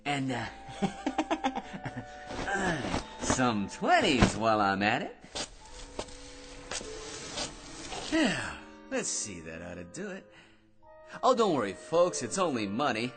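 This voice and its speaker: An actor in an old-time radio drama playing a boastful, excitable barfly who chatters in wheedling slang. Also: male voice, medium pitch, slow pace, long pauses, music in the background. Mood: gleeful. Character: boastful, excitable, talkative male barfly with a magic gizmo.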